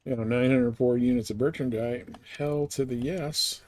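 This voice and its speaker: A man's voice: mid-range voice, steady tone, a little slow, darkish tone, no room sound. A man giving casual live commentary as he plays a video game, muttering offhand to himself.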